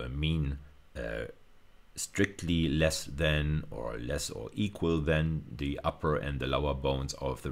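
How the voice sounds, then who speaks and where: Male lecturer, very low-pitched and gravelly, informal hands-on teaching delivery with thoughtful hesitations. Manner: hesitant, thinking aloud while demonstrating. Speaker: university lecturer. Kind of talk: informal technical lecture, live demonstration.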